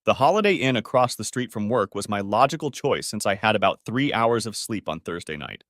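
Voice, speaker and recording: A man's voice: on the low side, big pitch swings, brisk, fairly bright tone, no room sound, studio quality. A man reading a scripted first-person story as its narrator.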